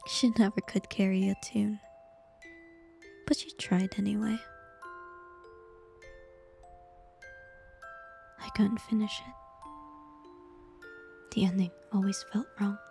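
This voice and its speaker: A woman playing a tender, heartbroken bard facing a former lover in an intimate fantasy ASMR roleplay, speaking softly and wistfully in a breathy near-whisper. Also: medium pitch, little pitch movement, faint music behind. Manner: slow, gentle, wistful delivery with pauses.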